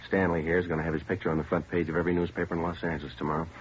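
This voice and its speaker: An actor playing a terse deep-voiced police detective. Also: male voice, rapid-fire, lightly acted. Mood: firm. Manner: clipped, flat, matter-of-fact. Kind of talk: 1950s radio drama acting. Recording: dull old radio broadcast with faint hiss.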